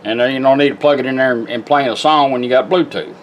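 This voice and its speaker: A man's voice: medium pitch, fast talker, muffled recording, slight background noise. A plainspoken, folksy man giving a casual, step-by-step hands-on product demonstration. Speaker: product demonstrator and car radio rebuilder.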